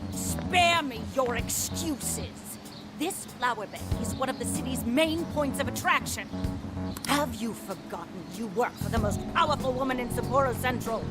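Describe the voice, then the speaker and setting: An actress playing a two-faced female city mayor in a game dub, sharp and scolding with her staff and sugary and gracious with visitors. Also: a little high, normal speed, close to an everyday voice. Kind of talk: video game voice acting. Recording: game cutscene with loud music behind.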